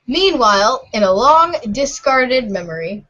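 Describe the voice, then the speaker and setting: A woman narrator with a fairly high voice reads aloud slowly. Her delivery is formal and slightly halting, like amateur readers' theater, and the recording is somewhat muffled. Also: very animated, a bit bright, a little hiss or hum. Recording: muffled lo-fi audio.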